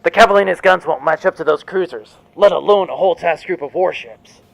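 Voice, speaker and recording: Tired old crewman, male actor, comedy audio drama: gravelly, skeptical, slightly high, very dry sound. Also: a little fast, no room sound, dull audio. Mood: worried, skeptical. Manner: skeptical, blunt.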